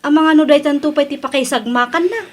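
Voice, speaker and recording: A woman's voice: sits high, fast talker, close to an everyday voice. A woman playing a chatty, concerned young co-worker in a comedy radio drama, with a Philippine accent. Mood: surprised, concerned.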